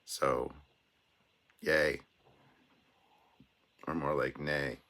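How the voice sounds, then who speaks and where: A man with an extremely low voice hosts a relaxed livestream, his throat raw and hoarse. He rambles along in a chatty, self-deprecating way, reading viewer messages aloud. His tone carries a forceful, bright edge despite the soreness. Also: very slow, slight background noise. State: sore throat, voice hoarse and cracking. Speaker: livestream host. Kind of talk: casual livestream chat, reading out viewer comments.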